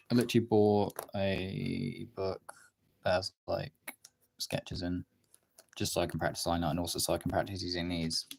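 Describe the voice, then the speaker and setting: A young adult livestream host chatting casually and informally while drawing, often muttering to themselves between replies to viewers. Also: sits low. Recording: clear background noise, clicks.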